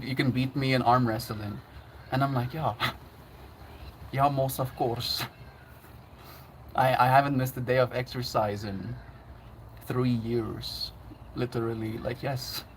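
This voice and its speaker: A man's voice: mid-range voice, fairly bright tone, slight background noise. A man chatting casually on his livestream, laughing often and reading out chat messages.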